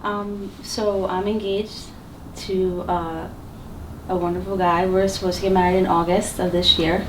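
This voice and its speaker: A woman with a slightly low voice talks casually and openly, peppering her words with likes and ums. She sits some way from the mic in a room with noticeable echo. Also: flat delivery, unhurried, background noise. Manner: casual, chatty with fillers. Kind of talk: personal interview. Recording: roomy sound from a distance.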